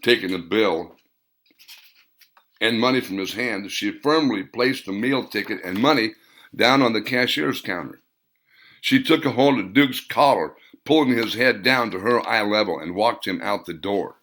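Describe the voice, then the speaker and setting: A man reading his own novel aloud as an audiobook narrator, in a measured delivery that slows on key phrases. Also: fairly low pitch, fairly bright tone.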